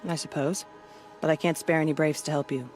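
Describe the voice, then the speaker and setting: A woman's voice: low-pitched, fairly even intonation, a little fast, darkish tone, close to an everyday voice. A woman voices a proud female war chief in a game cutscene. She is stern and weary but steadfast, with music playing behind her. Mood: skeptical, firm. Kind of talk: video game cutscene acting.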